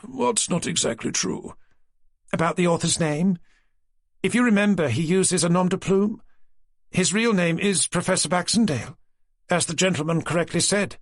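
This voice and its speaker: A man's voice: high-pitched, moderate pace, no echo, clean studio sound, pitched above the performer's own voice. A man putting on a polite office woman. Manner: politely corrective. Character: polite female receptionist, composed.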